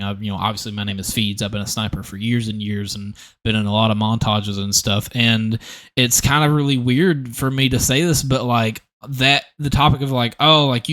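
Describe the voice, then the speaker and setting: A man's voice: mid-range voice, fairly bright tone. A young man hosting a gaming podcast, talking in an earnest, rambling way with many restarts. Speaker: podcast host. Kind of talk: casual podcast conversation.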